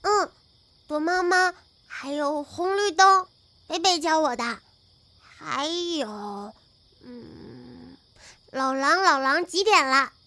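A woman playing an innocent, sweet little girl in an audio drama, talking in simple, halting childlike phrases. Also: sits high, sharp tone, no echo, full character voice. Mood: innocent, earnest. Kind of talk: modern audio drama acting.

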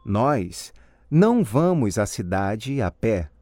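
A man giving scripted model answers in a language drill with careful, deliberate enunciation. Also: lowish voice, sing-song, mellow, dry recording. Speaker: language course model speaker.

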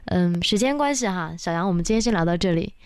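Soft-spoken woman radio host. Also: on the low side, lively intonation, fast, clean tone, dry recording. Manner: gentle, encouraging, soft-spoken. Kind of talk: late-night radio call-in chat.

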